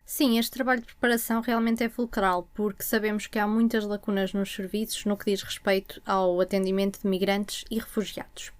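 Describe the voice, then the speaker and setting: A woman, hosting a podcast and asking measured questions. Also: medium pitch, flat delivery, smooth. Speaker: podcast host. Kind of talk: interviewer.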